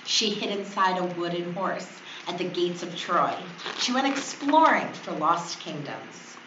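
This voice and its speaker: A woman's voice: lowish voice, animated, measured pace. A woman reading a children's story aloud as a teacher would to her class. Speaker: fourth grade teacher. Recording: distant, echoey, dull, noisy recording.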